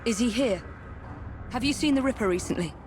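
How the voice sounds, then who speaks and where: A woman's voice: fairly high pitch, expressive, a little fast, noisy background, natural voice. An actress playing a determined, concerned woman assassin in a video game. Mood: urgent. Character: determined woman assassin investigating a grim prison.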